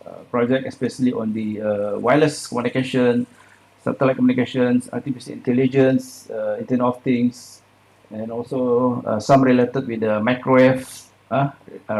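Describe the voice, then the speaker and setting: Gravelly Malaysian man presenting haltingly. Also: mid-range voice, a little mellow, poor audio. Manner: halting delivery with frequent hesitations and "eh" tags. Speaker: keynote speaker, academic researcher. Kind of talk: conference presentation.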